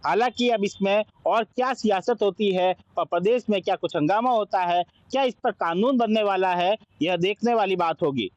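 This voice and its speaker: High-pitched male Indian news reporter, dry sound. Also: moderate pace, hardly any pauses, dry recording, lo-fi sound. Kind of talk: scripted news narration.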